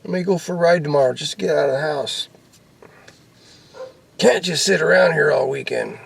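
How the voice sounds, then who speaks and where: Gravelly American man rambling casually. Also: a little high, moderate pace. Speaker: hobbyist vlogger showing off CB radio gear. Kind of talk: casual rambling show-and-tell. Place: in or around a pickup truck. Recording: noisy handheld camera recording with clicks.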